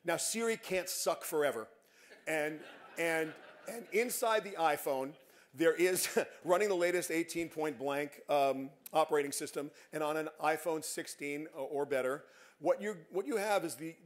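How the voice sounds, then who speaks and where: A middle-aged man giving a conference keynote on a large stage, speaking emphatically and making confident predictions. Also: a little high.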